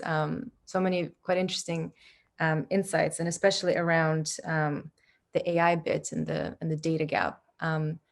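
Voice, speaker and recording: Enthusiastic woman panel moderator. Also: lowish voice. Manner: enthusiastic, friendly.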